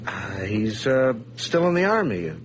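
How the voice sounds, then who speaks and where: A man's voice: on the low side, sing-song, unhurried, noisy background. An actor playing a nervous, scheming man who stammers and dodges as he confesses at gunpoint in a radio play. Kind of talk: 1980s radio drama acting.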